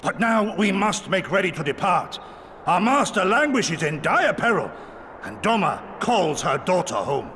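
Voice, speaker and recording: A man playing a hearty, honour-bound warrior retainer with a booming gravelly voice and formal archaic speech, in a video game. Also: very animated, unhurried, pushed and bright, a little hiss or hum, full character voice. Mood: earnest. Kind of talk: theatrical video game voice acting.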